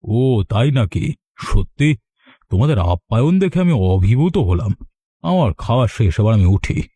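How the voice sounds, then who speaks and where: A man's voice: mid-range voice, sing-song, unhurried, mellow, breathy edge. A man voicing an elderly, shrewd village uncle in a cartoon, with a theatrical, exclamatory delivery. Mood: pleased, polite. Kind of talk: Bengali cartoon voice acting.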